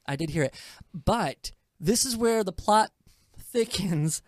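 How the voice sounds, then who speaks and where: A woman's voice: medium pitch, slow, dry recording, clean studio sound. A woman chatting casually as a podcast host reviewing a film, giggly and emphatic in how she tells it. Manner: animated, giggly, emphatic storytelling. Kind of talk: casual movie review chat.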